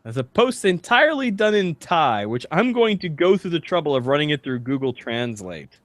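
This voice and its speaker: A man with a slightly high, very animated voice hosts a comedy podcast. He rambles in a casual, jokey way and reads comments aloud, with a close, dry sound. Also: moderate pace, no echo. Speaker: podcast host.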